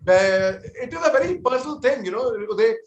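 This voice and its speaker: Indian male panelist, 40s, high-pitched, sincere and peacemaking, echoey room. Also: animated, normal speed, lo-fi sound. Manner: earnest, conciliatory, often repeats and restarts words. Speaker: army veteran panelist on a TV debate. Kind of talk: televised panel debate.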